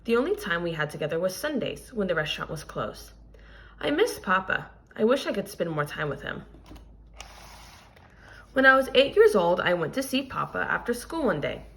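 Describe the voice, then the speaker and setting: A woman reading a children's picture book aloud like a teacher, expressive and deliberate, stressing key words and adding explanatory asides. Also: lowish voice.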